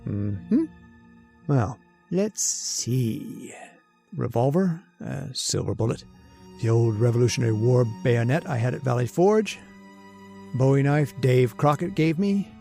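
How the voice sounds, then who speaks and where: A man's voice: medium pitch, measured pace, creaky, mellow, faint music behind. An actor playing a rattled man hunting his deadly sweetheart in old-time radio horror, muttering to himself and stammering. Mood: anxious, muttering.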